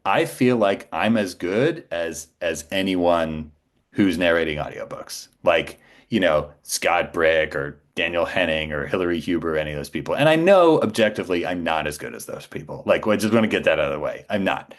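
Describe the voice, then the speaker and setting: A man's voice: low-pitched, expressive, normal speed. A man in his forties speaking as an interview guest, telling anecdotes casually and with self-assurance. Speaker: podcast guest, voice actor.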